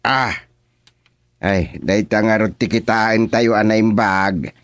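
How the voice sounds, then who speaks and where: A man's voice: on the low side, takes it slowly, smooth, no echo. An actor playing a plain-spoken, easily exasperated village chairman in a rural comedy radio drama. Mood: businesslike.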